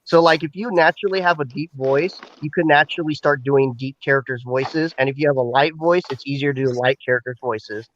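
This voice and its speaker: A man's voice: mid-range voice, a little fast, hardly any pauses, highs cut off, slight background noise. A man from Northern California, a guest telling stories in a casual chat, rambling with many "like"s and restarts.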